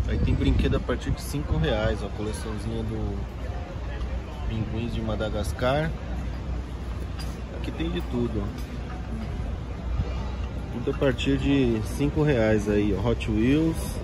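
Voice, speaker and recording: A man's voice: medium pitch, a little slow, pauses often. A man giving casual commentary while browsing an outdoor flea market, pointing things out as he goes. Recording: loud traffic noise behind.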